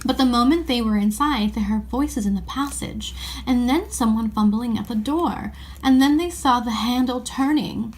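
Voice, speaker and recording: A woman's voice: fairly high pitch, moderate pace, darkish tone, poor audio. A woman narrating a children's story as an audiobook reading.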